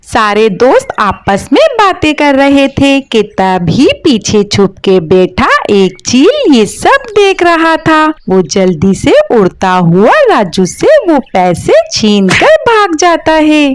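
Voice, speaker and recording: A woman's voice: high voice, big pitch swings, takes it slowly, few pauses, dry recording. A woman reading scripted narration for a children's story.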